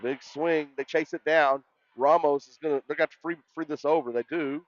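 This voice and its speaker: A man with a loud voice doing live play-by-play volleyball commentary in an excitable, folksy way. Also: on the high side, normal speed, muffled recording. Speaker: sports play-by-play announcer.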